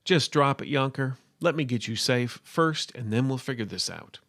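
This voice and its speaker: A man voicing a terse, guarded, gruffly protective gunslinger in a story, speaking flatly and curtly. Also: medium pitch, moderate pace, no echo, studio quality. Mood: exasperated. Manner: flat, curt. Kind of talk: storybook character voice.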